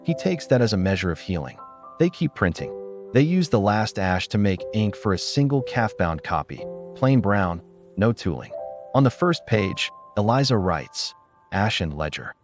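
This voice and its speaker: A man reading a literary story in a measured, solemn manner, as a scripted narrator. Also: on the low side, sing-song, music in the background.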